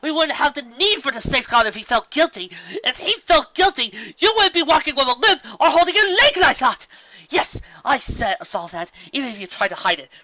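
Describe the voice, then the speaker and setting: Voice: sits high, sing-song, fairly quick, few pauses, bright, forceful tone, dry recording, highs cut off, pitched above the performer's own voice, exaggerated voice. A reader voicing a younger pony princess, hot-tempered and anxious, who speaks with great emphasis. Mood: furious. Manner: stammering, emphatic outbursts.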